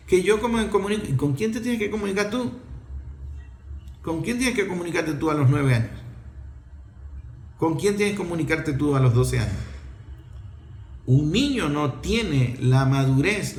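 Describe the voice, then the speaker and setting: A man's voice: on the high side, roomy sound. A middle-aged man with a Venezuelan accent giving an impassioned opinion talk in an emphatic, scolding way, full of rhetorical questions.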